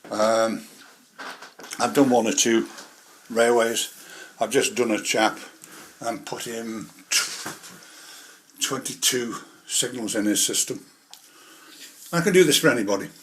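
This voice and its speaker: A man presenting an informal hobby tutorial, explaining things step by step in a rambling, hands-on way. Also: fairly low pitch. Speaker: model railway hobbyist presenter.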